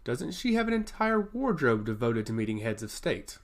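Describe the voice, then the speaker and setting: Earnest fantasy warrior , played by a male narrator, fretful, with lively intonation. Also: mid-range voice, moderate pace, close to an everyday voice. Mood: wondering.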